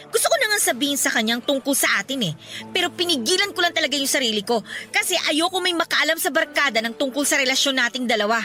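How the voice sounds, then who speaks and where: Jealous young girlfriend, high-pitched, bright and forceful, accusing, sarcastic, radio drama. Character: fed-up, jealous young woman confronting her secret boyfriend. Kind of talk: Tagalog radio drama acting.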